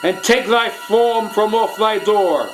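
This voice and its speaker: A man's voice: high-pitched, takes it slowly, music in the background, voice pushed up. An actor playing a grief-stricken, haunted man, declaiming gothic verse in a theatrical, impassioned manner. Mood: furious despair.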